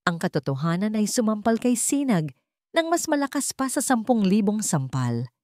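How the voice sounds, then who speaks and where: A woman's voice: medium pitch, sing-song, moderate pace, a little mellow, no echo, clean studio sound. A woman reading scripted narration for a dramatic story in the manner of a storyteller.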